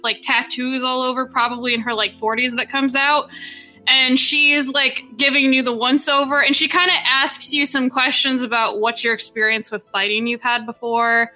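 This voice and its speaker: Woman GM, bright tone over faint background music, loose chatty narration. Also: on the high side. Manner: casual, filler-heavy narration with voiced NPC lines. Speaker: game master. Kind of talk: narrating a tabletop game.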